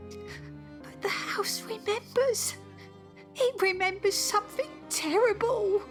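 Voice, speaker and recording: A frightened, superstitious wife in an audio drama. Her very high voice pleads slowly and tremulously, washed in long reverb. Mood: terrified. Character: terrified, superstitious wife haunted by her house. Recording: long reverb with faint music.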